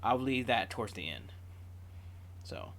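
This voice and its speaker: A man's voice: medium pitch, expressive, measured pace, a bit bright, slight background noise. Casual man hosting a reaction video.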